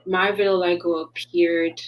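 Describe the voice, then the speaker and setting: A woman's voice: on the low side, flat delivery, unhurried, low-quality recording. A woman from Haiti, a business founder, talking earnestly in a casual interview and laughing often.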